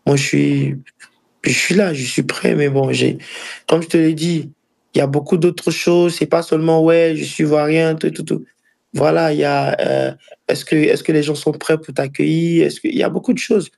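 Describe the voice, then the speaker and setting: A man in his early twenties with an Ivorian accent, a footballer answering interview questions casually and haltingly, often repeating phrases. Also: a little high, little pitch movement, gravelly, darkish tone. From Ivory Coast. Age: early 20s. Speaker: professional footballer being interviewed.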